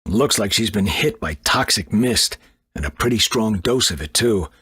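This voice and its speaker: Duck doctor in a game, low and really rough husky voice, blunt, dry sound. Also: male voice, expressive, normal speed, a bit bright, no room sound, heavily acted. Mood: grave, blunt. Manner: matter-of-fact, grave. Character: blunt, gloomy duck doctor, middle-aged male. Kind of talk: video game character acting.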